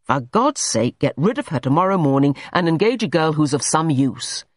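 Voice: medium pitch, huge pitch swings, a little slow, no echo, studio quality, heavily acted. A reader voicing an irritable, domineering male painter in an audiobook story, blunt and scolding. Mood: exasperated.

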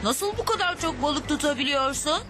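A woman's voice: high voice, animated, moderate pace. A woman voicing a clever, self-assured young child in a children's cartoon. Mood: eager. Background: music.

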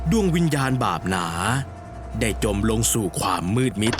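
A man narrating an animated horror story as a grave, dramatic storyteller, with music behind him. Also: lowish voice. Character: grave narrator of a demon's downfall.

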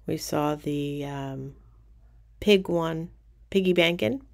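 A middle-aged woman with a low, gravelly voice narrates at an unhurried pace. She talks casually and relaxed, pointing things out as she walks through a casino, recorded on a handheld camera. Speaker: casino tour vlogger. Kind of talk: casual walking tour narration. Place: cruise ship casino, indoors.

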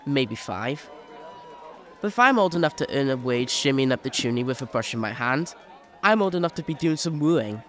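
An actor playing a cheeky, boastful young chimney sweep in an audio drama. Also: male voice, mid-range voice, animated, moderate pace, a bit bright, a faint crowd, lightly acted.

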